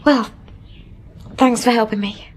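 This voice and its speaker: An actress playing a fragile, guarded young widow in a radio drama, with a halting, emotional delivery. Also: female voice, on the high side, steady tone, breathy edge. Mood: quiet, grateful. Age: young adult. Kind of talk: naturalistic radio drama acting.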